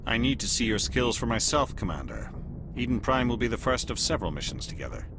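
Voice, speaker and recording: An actor playing a shrewd alien elite agent in a video game, speaking in a formal, deliberate, coolly superior way. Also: male voice, medium pitch, sing-song, background noise. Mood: appraising, measured. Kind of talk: video game dialogue acting.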